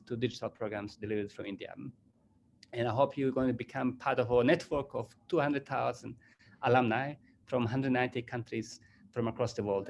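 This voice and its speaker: A man's voice: mid-range voice, slight background noise. A middle-aged man with a Croatian accent, founder of a business school, giving a formal welcome over a video call; he speaks earnestly and often restarts his phrases. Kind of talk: formal welcome address to a panel.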